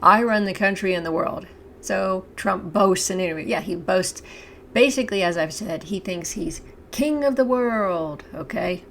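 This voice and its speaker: A hoarse woman chats to camera in a halting, meandering way, often pausing to clear her throat. Her voice sits at a middling pitch with a gravelly fry, and faint background noise runs underneath. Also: fairly bright tone. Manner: chatty, halting, with frequent restarts and laughs. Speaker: channel host. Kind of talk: casual talk to camera.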